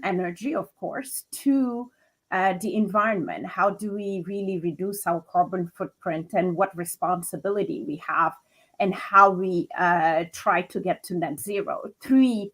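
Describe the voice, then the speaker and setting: A woman from Senegal, a chief people officer, answering interview questions in a measured way and counting off her points. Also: lowish voice, poor audio.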